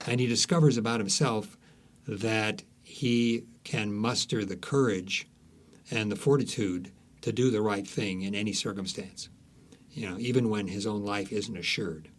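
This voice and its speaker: A man's voice: on the low side, unhurried. A man speaking as an author being interviewed, telling stories conversationally with frequent false starts and repeated words.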